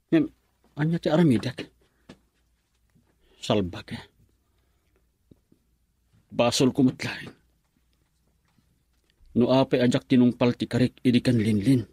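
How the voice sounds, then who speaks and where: A man's voice: mid-range voice, dark tone, breathy edge, no echo. A man acting a bitter, jealous young suitor in a radio drama monologue, with a Filipino accent.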